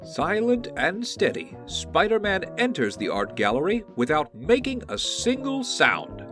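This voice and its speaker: A man's voice: sits high, huge pitch swings, measured pace, over music, heavily acted. A man playing a cheeky, showman-like narrator, delivering dramatic campy narration in the style of an old-time superhero serial.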